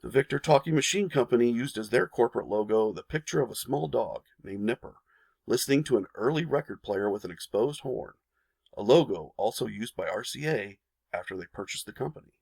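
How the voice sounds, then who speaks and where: A man's voice: mid-range voice, lively intonation, a little fast, gravelly, a little mellow, slightly rough, no echo. A man hosting a history channel, reading a narrated lesson and turning to casual asides for viewers.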